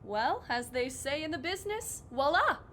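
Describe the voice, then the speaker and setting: An actress playing a formal, officious school superintendent chairing a meeting in an audio drama. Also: female voice, sing-song, normal speed, fairly bright tone. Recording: faint noise with low rumble.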